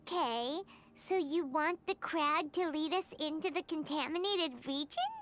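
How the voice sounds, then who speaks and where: A woman voicing a tiny, excitable, bossy childlike companion in a video game, squeaky and eager. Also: a little slow. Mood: curious. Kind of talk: scripted video game dialogue. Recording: loud game music behind the voice.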